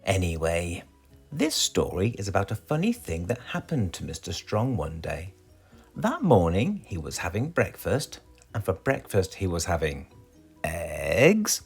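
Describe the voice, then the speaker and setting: A man's voice: lowish voice, breathy edge. A man with a British accent reads a children's storybook aloud dramatically, putting questions to his young listeners. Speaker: storybook reader.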